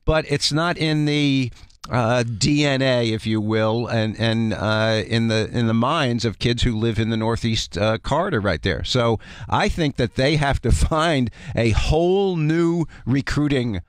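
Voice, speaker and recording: A man giving conversational sports analysis as a radio guest, explaining things in a halting way. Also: mid-range voice, smooth, no room sound. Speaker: guest college basketball analyst. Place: radio studio.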